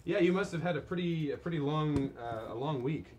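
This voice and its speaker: A man's voice: a little high, a little fast, darkish tone, a little hiss or hum. A man hosting a live charity stream, chatting casually with a guest, stammering through restarts and laughing easily. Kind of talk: casual chat. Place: crowded studio room, indoors.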